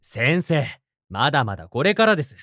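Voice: mid-range voice, big pitch swings, normal speed, a bit bright, no echo, clean studio sound. An actor voicing a confident, terse teenage boy strategist in an anime voice drama, with self-assured delivery.

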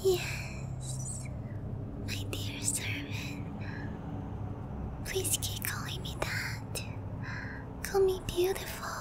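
A woman voicing an obsessive, lovesick young vampire princess in breathy, intimate roleplay ASMR. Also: very high voice, completely flat delivery, exaggerated voice. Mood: hungry, pleading. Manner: breathy, pleading, slow and intimate.

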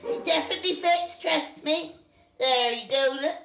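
Shrill, brash pantomime ugly stepsister. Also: male voice, moderate pace, bright, forceful tone, soft background music, exaggerated voice. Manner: shrill, exaggerated, cackling dame delivery. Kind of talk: broad pantomime dame acting. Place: indoor room, video call.

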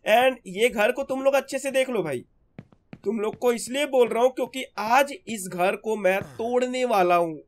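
Hoarse high-voiced young man gaming. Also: moderate pace. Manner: chatty, keeps calling viewers brother. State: hoarse from a sore throat. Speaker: gaming channel host. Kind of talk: casual gameplay commentary.

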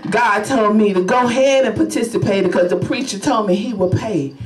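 A woman's voice: mid-range voice, a little fast. Brief: woman evangelist, fervent sermon, heard from a distance in an echoey church. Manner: fervent preaching punctuated by hallelujahs. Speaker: evangelist preacher. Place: small church sanctuary, at a pulpit. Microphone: podium mic, filmed by a far camera. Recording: roomy church sound from a distance.

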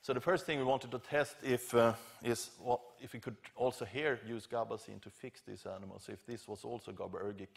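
A middle-aged man giving an academic conference lecture, explaining informally and haltingly with self-corrections. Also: medium pitch, steady tone, gravelly. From Scandinavia. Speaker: professor, keynote lecturer. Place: large lecture theatre stage at a podium.

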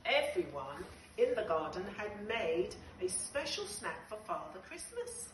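A middle-aged woman reading a picture book to young children in an expressive, deliberate way with dramatic pauses. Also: mid-range voice. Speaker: nursery school storyteller. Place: small nursery classroom, indoors. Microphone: camera a few metres away. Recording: roomy, distant, noisy phone-style video.

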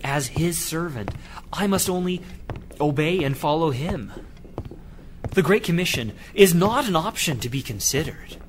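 An actor playing a devout, burdened missionary preacher in an audio drama, grave and fervent in manner. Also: male voice, on the high side, lively intonation, a little slow, slight background noise. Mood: grave, resolute.

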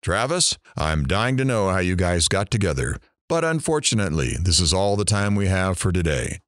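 A low, swooping male voice in a dry recording. An actor plays a curious, teasing talk radio host bantering in an audio drama. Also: big pitch swings, normal speed, fairly bright tone, no echo. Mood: upbeat.

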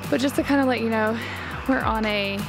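A young adult woman with a slightly high voice talks casually, like a travel vlogger filming on the go. Loud music plays along with her speech. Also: fairly quick. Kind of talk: casual travel vlog chat. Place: varies: moving car, small cabin, outdoors. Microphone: handheld camera.

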